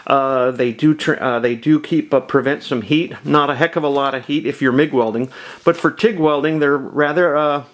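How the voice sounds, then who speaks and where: A man hosting a DIY video, explaining a cheap welding setup at his workshop bench in a halting, restart-filled way. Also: mid-range voice. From Southern California. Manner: halting, many ums and uhs, restarts. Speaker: DIY channel host. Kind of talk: casual how-to demonstration. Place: home workshop, at a workbench.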